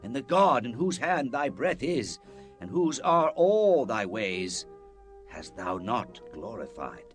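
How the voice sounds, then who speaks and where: An actor playing a stern, fearless Hebrew prophet in a dramatized Bible, solemn and declamatory. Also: male voice, mid-range voice, big pitch swings, slow pace, soft background music.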